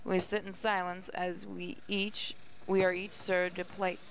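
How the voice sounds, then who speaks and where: A woman's voice: lowish voice, flat delivery, unhurried, creaky, slight background noise, poor audio. A woman gaming host reading game narration aloud, with casual asides.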